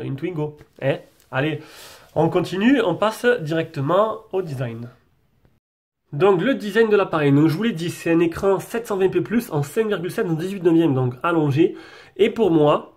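A French man with a cold talks through a stuffed nose as he reviews a phone off camera, his voice pitched a little high. He chats loosely and often stalls on fillers and restarts. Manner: chatty, hesitant, many fillers and restarts. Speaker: tech reviewer. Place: desk setup with a branded backdrop, indoors.

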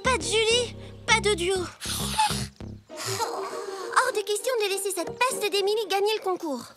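An upbeat young cartoon schoolgirl, pitched very high. She is eager, persuasive and determined, and loud music plays behind her voice. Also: a little slow. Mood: indignant, determined. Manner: eager, coaxing. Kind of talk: animated cartoon voice acting.

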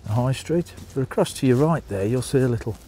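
A man with a Birmingham accent strolls through a seaside town, filming on a phone and chatting to his viewers as folks. His voice is mellow and of middling pitch. A faint outdoor hiss sits under it. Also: expressive, brisk. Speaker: vlogger. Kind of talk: walking tour narration.

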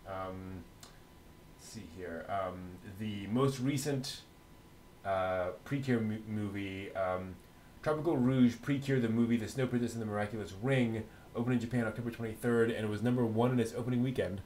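Low-voiced middle-aged man, halting and casual. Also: a little mellow, a little hiss or hum. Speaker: co-host of an anime news show. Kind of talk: casual chatty news rundown.

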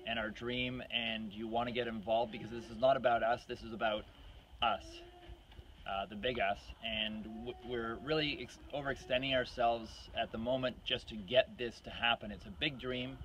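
Middle-aged male farm owner, informal explainer to camera, sharp-edged bright voice, pausing delivery, slight outdoor noise. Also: medium pitch, fairly even intonation. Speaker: farm owner appealing for donations. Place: outdoors on a farm beside a wooden barn.

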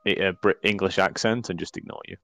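Low-voiced man narrating a horror game. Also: fairly even intonation, brisk, fairly bright tone, no echo. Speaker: game master.

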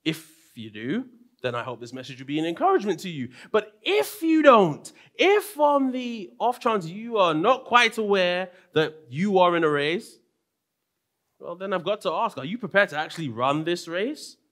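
A young man from London preaching at a church conference, his voice a touch higher than most men's. He delivers from a pulpit mic, dropping to quiet asides and then rising into emphatic, lively peaks. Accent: London English. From London, England. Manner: animated, swings between soft asides and raised emphatic lines. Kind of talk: sermon. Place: church stage with purple lighting.

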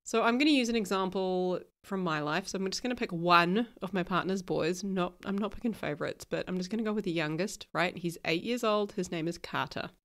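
A woman's voice: on the low side, fairly quick, hardly any pauses. A woman with a New Zealand accent hosting a podcast, still a little nasal from a cold. She talks frankly and casually, swears now and then and laughs often. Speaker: podcast host.

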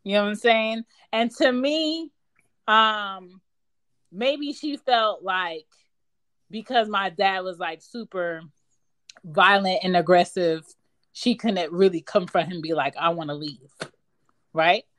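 A woman's voice: mid-range voice, slow, fairly bright tone. A woman in her thirties hosting a podcast, speaking candidly and bluntly, swearing freely. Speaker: podcast host. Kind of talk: casual podcast chat.

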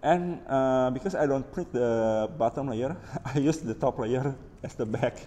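A man's voice: medium pitch, measured pace, mellow, muffled recording. A man with an Indonesian accent giving a casual, unscripted hands-on overview as a hobbyist maker. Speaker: maker presenting his DIY electronics build. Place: indoors at a wooden table, hands-only camera view. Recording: reverberant room with background noise.

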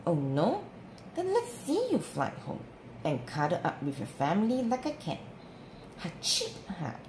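A woman's voice: on the low side, wildly swooping pitch, unhurried. Woman as a chirpy, mocking little bird. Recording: roomy with clear background noise.

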